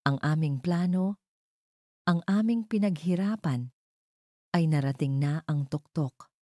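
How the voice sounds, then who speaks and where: Low female narrator, super dry sound, telling a dramatic story slow and deliberate. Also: dark tone, dry recording. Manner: measured, deliberate, short dramatic phrases. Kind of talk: dramatic scripted first-person story narration.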